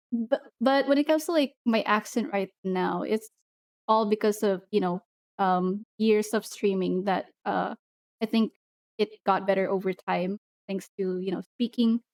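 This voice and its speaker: A young Filipino woman on a stream, talking casually in slow, careful English. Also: medium pitch, darkish tone, no echo, studio quality. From Philippines. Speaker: streamer guest. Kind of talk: casual collab stream chat.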